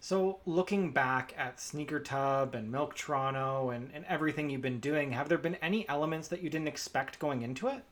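A man's voice: fairly high pitch, moderate pace, hardly any pauses, a bit bright. A man interviewing a guest, asking questions and giving brief encouraging replies.

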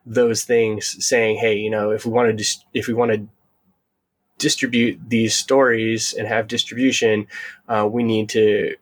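A man's voice: fairly low pitch, little pitch movement, measured pace, low-quality recording. A man co-hosting a podcast in casual discussion, thinking aloud in a conciliatory, tentative way. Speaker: podcast host.

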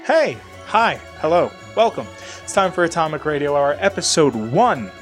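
A man's voice: a little high, very animated, moderate pace, faint music behind. A man hosting a casual podcast, rambling in a loose, chatty way while stuffy from a sinus infection. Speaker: podcast host. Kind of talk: casual solo podcast chat.

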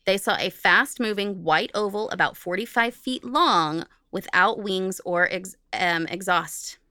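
A woman's voice: medium pitch, unhurried, pushed and bright, no echo, studio quality. A woman co-hosting a podcast, reading from notes in an informal, jokey way and carefully repeating technical terms. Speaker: podcast co-host.

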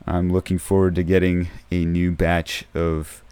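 A man hosting a podcast in a casual, soft-spoken way, with a low, dark voice that tends toward mumbling. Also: completely flat delivery, a little slow, smooth, no echo. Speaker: podcast host. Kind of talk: casual solo podcast talk. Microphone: close podcast microphone.

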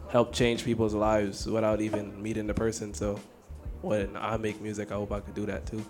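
Teen male rapper from Brooklyn, easygoing, slightly low voice, heavy music bed. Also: monotone, normal speed. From Brooklyn, New York. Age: teens. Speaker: young rapper in a music group. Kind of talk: casual interview answers. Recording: loud music behind.

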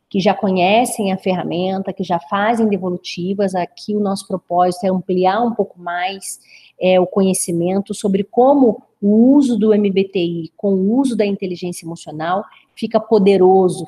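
Brazilian webinar host in her forties, husky, unhurried drawl, explains step by step. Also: mid-range voice, mellow. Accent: drawling inland Brazilian Portuguese. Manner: explanatory, measured, frequent "né?" tags. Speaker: webinar presenter, consultant. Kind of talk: online training webinar.

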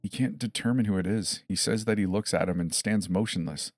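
A man's voice: fairly low pitch, fairly quick, a little mellow, dry recording, soft background music, natural voice. A man playing an emergency dispatcher in a dramatized horror story, reassuring and methodical, probing with short questions. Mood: urgent report. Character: calm, insistent adult emergency dispatcher questioning a child.

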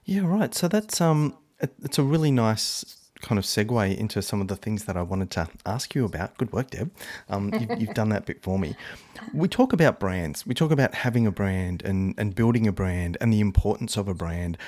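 A man's voice: on the low side, sing-song, normal speed, a little mellow. A man hosting a podcast interview, friendly and encouraging. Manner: friendly, encouraging, many fillers. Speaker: podcast host.

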